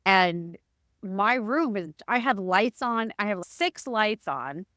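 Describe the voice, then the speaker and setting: A woman with a loud, booming voice talks in an energetic, jokey way. She co-hosts a casual podcast chat. Her voice sits at a middle pitch and sounds dry and close. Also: takes it slowly, dry recording. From Arizona, USA. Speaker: podcast co-host.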